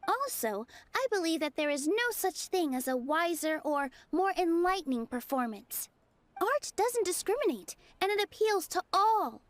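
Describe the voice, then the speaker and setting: A woman's voice: extremely high pitch, big pitch swings, moderate pace, no echo. A woman voicing an earnest young female dancer in a video game, speaking articulately and firmly.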